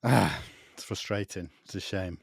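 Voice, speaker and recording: A man's voice: low-pitched, moderate pace, creaky, breathy edge, dry recording, clean studio sound. A man hosting a casual interview, asking questions in a conversational way. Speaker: interviewer, host.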